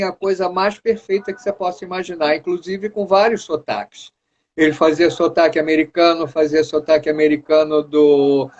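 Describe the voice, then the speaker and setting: A Brazilian man as an interview guest, a measured storyteller who recalls details with enthusiasm. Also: fairly high pitch, darkish tone. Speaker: guest, former artist manager and producer. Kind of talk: casual interview chat. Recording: dull lo-fi sound.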